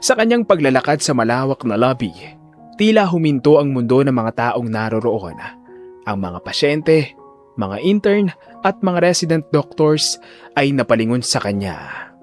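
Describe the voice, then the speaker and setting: A man narrating a scripted audio drama in a deliberate, theatrical storytelling manner. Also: mid-range voice, very animated, darkish tone. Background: faint music.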